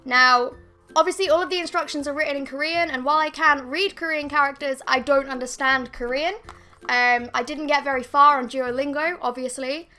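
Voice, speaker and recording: A young woman in her twenties, vlogging a makeup review at her desk at home, her voice a bit croaky from being ill. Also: high voice, fairly even intonation, brisk, sharp tone. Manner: chatty, rambling, self-deprecating. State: slightly croaky from illness. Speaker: beauty vlogger. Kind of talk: casual makeup review to camera. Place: bedroom with bookshelves, indoors. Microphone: fixed camera at desk.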